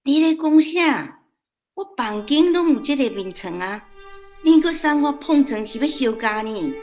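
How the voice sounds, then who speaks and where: Slow-talking Taiwanese grandmother. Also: high-pitched, animated, a little mellow, faint music behind. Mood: puzzled. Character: stubborn, playful old Taiwanese grandmother. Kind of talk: radio drama acting.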